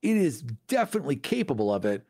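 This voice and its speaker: A man's voice: a little high, very animated, fast talker, warm tone. A man co-hosting a podcast in a casual discussion about tabletop gaming. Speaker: podcast co-host.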